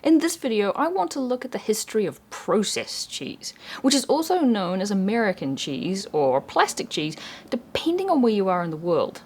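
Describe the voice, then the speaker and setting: Young woman, New Zealand accent, mid pitch, scripted documentary host, calm and inquisitive delivery. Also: moderate pace, breathy edge. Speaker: history channel host. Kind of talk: scripted educational narration.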